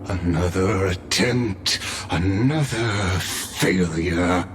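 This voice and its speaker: An actor playing a smug, patient game villain who taunts coolly in a theatrical, drawn-out, deliberate way. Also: male voice, low voice, background noise, heavily acted. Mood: cold. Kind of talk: theatrical game cutscene acting.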